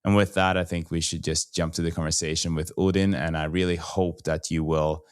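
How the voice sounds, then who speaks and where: A man's voice: deep voice, flat delivery, brisk, clear, resonant tone, no room sound, studio quality. A man with a Norwegian accent, hosting a podcast in a casual, conversational way. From Norway. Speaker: podcast host.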